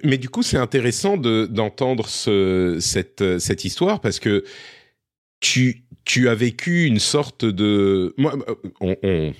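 A man's voice: fairly low pitch, sing-song, slow pace, dry recording, studio quality. A man hosting a podcast interview, who talks hesitantly and searches for his words. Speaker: podcast host. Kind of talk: casual interview.

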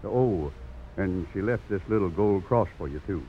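An actor playing a wry, folksy older country doctor for horses in a 1950s radio drama. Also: male voice, low-pitched, animated, normal speed. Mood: chatty, folksy. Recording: dull old radio broadcast, faint hiss.

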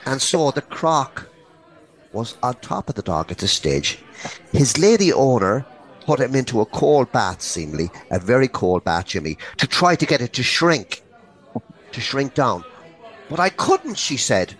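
A man's voice: medium pitch, sing-song, a little slow, fairly bright tone. A man co-hosting a comedy podcast, telling bawdy stories crudely and with theatrical emphasis. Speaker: podcast co-host, comic storyteller. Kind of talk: bawdy comic storytelling.

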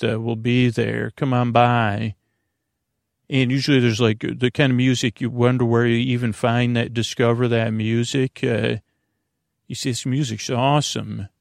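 A man with a creaky, gravelly voice, recorded close and dry. He hosts a bedtime show in a meandering monologue, often repeating himself and leaving phrases unfinished. His manner is self-deprecating. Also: medium pitch, no room sound. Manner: halting, repeats and trails off mid-phrase. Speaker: host of a bedtime podcast.

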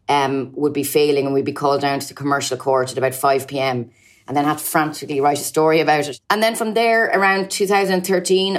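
A woman in her forties from Ireland with an Irish accent, a journalist answering interview questions fluently, with frequent ums and uhs. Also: low voice. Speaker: journalist guest.

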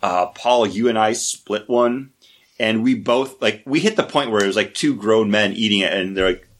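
A man's voice: fairly low pitch, moderate pace. A man co-hosting a podcast, chatting casually and laughing often. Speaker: podcast co-host. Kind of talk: casual chat.

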